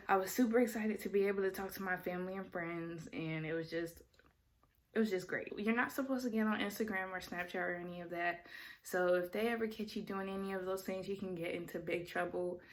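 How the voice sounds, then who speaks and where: A young adult woman vlogging casually, explaining things in a chatty way and correcting herself as she goes. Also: mid-range voice. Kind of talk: casual talking-head vlog.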